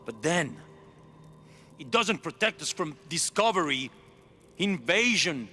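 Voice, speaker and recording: A man's voice: fairly high pitch, sing-song, slow, bright, forceful tone, slight background noise, heavily acted. An actor playing an ambitious male ruler of a hidden city, intense and commanding in a video game cutscene. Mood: persuasive. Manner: intense, pleading then commanding.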